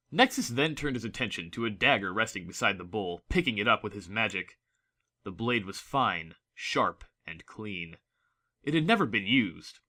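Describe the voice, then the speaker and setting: A man's voice: fairly low pitch, bright, forceful tone. A man narrating a fantasy story from a script in a dramatic audiobook style.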